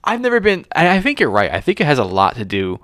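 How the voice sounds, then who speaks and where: A man's voice: fairly high pitch, huge pitch swings, fast talker, fairly bright tone, no echo, clean studio sound. A man in his thirties, a comedian, chatting casually on a podcast in an informal, slangy way. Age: 30s. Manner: informal, slangy, frequent swearing. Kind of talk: casual chat.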